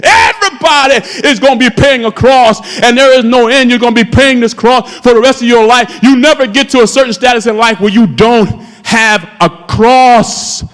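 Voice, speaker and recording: Fervent man in his fifties, soaring high swooping delivery, preaching in a church with a long echo. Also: normal speed, hardly any pauses, fairly bright tone. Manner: impassioned preaching, calls out to the congregation. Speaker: pastor. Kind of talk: sermon. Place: church sanctuary. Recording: long hall reverb in a church.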